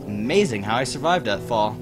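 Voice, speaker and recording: A man's voice: mid-range voice, expressive, brisk, faint music behind, natural voice. A man voicing a glib young adventurer with a sarcastic, theatrical delivery in an abridged cartoon parody. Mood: flippant.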